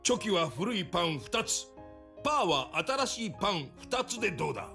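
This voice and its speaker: An actor playing a gruff, stubborn, impatient old grandfather in a Japanese anime game, grumbling in old-fashioned elderly speech. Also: high-pitched, very animated, rapid-fire, over music, heavily acted.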